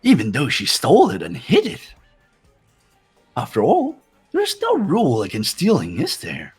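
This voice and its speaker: Mocking robot bear villain, childish glee, theatrical, extremely animated pitch, rough-edged tone, video game fan voice acting, music behind. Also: male voice, measured pace, full character voice. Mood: smug, teasing. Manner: theatrical, teasing, sing-song swoops.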